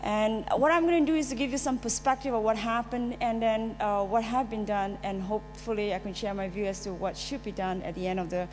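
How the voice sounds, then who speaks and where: A woman's voice: medium pitch, steady tone, fairly quick, few pauses, slight background noise. A woman from Singapore, a finance professor, opening her panel remarks hesitantly with frequent fillers. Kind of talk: panel talk to an audience. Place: lecture hall. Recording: reverberant hall with faint music.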